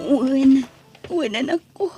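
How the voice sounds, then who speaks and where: A woman playing a humble, pleading young single mother in an Ilocano audio drama, with an Ilocano accent and a breathy, husky voice. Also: high voice, takes it slowly, over music. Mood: tearful, loving. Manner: pleading, emotional.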